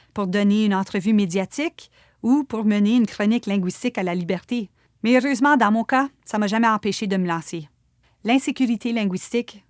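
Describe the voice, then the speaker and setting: A Franco-Manitoban woman host reading scripted narration in a clear, composed way. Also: medium pitch, no echo, studio quality. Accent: Franco-Manitoban French.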